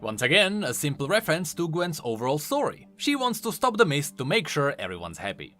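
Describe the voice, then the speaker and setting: Bright, very animated male game lore commentator. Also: fairly high pitch, brisk. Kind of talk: explanatory commentary voice-over. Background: faint music.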